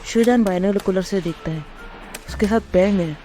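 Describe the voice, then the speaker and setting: A woman's voice: mid-range voice, moderate pace, over music. A woman giving scripted narration as a storyteller, explaining a dramatic tale.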